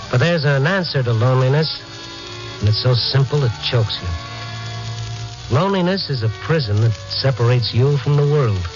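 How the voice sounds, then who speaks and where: Actor as a hard-boiled reporter, muffled old radio. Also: male voice, mid-range voice, close to an everyday voice. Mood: wry, reflective. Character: tough, compassionate night reporter, hero and narrator. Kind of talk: 1950s radio drama, hard-boiled first-person narration. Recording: muffled 1950s radio recording.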